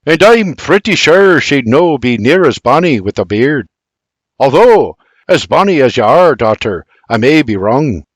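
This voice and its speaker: A man's voice: a little high, expressive, measured pace, hardly any pauses, a bit bright, no echo, voice pushed up. A man voicing a bearded farmer father with a barking, teasing way of talking.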